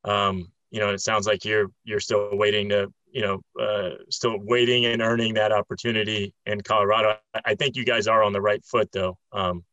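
A man's voice: lowish voice, little pitch movement, normal speed, few pauses, sharp tone, no room sound, highs cut off. Rambling male interviewer, dry sound. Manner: rambling, long-winded, many fillers. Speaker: podcast host and occasional commentator.

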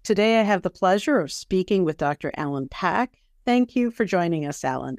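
Lower-pitched woman hosting an interview. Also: expressive, moderate pace, a little mellow, no echo, clean studio sound. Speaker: podcast interviewer and host. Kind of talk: prepared interview questions.

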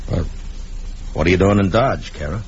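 An actor playing a stoic, terse, world-weary frontier marshal in a radio western. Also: male voice, sits low, moderate pace, darkish tone, close to an everyday voice. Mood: guarded, subdued. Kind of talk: 1950s radio western acting. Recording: dull, noisy vintage radio recording.

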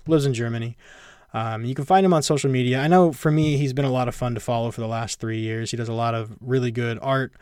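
A man's voice: mid-range voice, clean tone, no room sound, studio quality. A man hosting a podcast, giving a casual, rambling intro with lots of fillers. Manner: casual, many fillers and restarts. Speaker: podcast host. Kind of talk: solo intro to a recorded interview.

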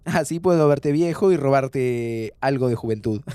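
A man's voice: mid-range voice, moderate pace, mellow, smooth, dry recording, lightly acted. An actor playing a playful, impatient young man with an Argentine accent, informal and teasing, in a naturalistic audio drama. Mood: playful, reassuring. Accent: Argentine Rioplatense. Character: pleading, playful, impatient young man planning time travel. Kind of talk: naturalistic audio fiction acting.

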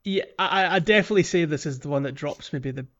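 A man co-hosting a podcast, talking casually about a video game in a halting way, searching for words. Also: fairly high pitch, a bit bright, muffled recording. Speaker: podcast co-host.

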